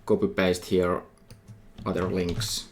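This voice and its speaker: A middle-aged man with a Finnish accent, a university lecturer talking through a coding tutorial while he types, thinking aloud in halting phrases. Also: low voice, little pitch movement. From Finland. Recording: faint noise with keyboard typing.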